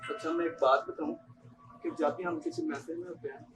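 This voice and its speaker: A man's voice: a little high, fairly even intonation, fairly quick, over music, close to an everyday voice. A young man with a Pakistani accent acting a chatty, patronising, self-satisfied husband in an amateur stage play, in an echoey hall far from the microphone. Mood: casual, nosy. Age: young adult. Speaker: student stage actor.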